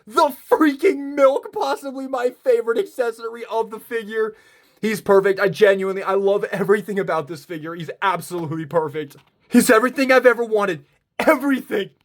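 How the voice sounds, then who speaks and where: A man giving an excited unboxing review, gushing and emphatic as he talks. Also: high voice. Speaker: toy reviewer.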